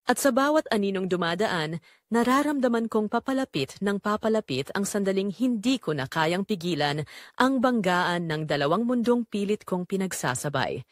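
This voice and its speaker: Filipino female audiobook narrator for dramatic fiction; lively pitch movement, close dry sound. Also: normal speed, dry recording. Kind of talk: scripted dramatic first-person story narration.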